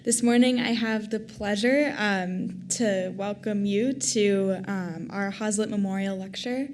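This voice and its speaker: A woman's voice: medium pitch, measured pace, darkish tone, slight background noise. A young woman in her twenties, a college student, reading a formal introduction in a lecture hall with hesitant fillers. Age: 20s. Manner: reads with nervous fillers.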